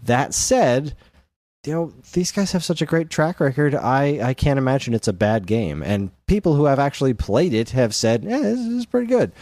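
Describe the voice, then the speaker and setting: A man's voice: mid-range voice, lively intonation, moderate pace, darkish tone, dry recording, clean studio sound. A man co-hosting a podcast, chatting casually about games in an enthusiastic, rambling, informal way. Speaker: podcast co-host.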